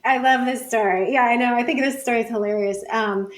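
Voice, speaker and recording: A woman's voice: a little high, steady tone, a little fast. A woman speaking as a podcast guest and researcher in casual intellectual conversation, thinking aloud with plenty of "like" and "you know".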